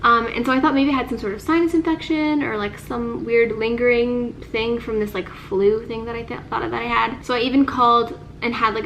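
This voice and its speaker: A woman's voice: on the high side, fairly bright tone, a little hiss or hum, rough recording. Congested, nasal-sounding young woman vlogging. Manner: chatty, sprinkles speech with "like". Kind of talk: casual chat to camera.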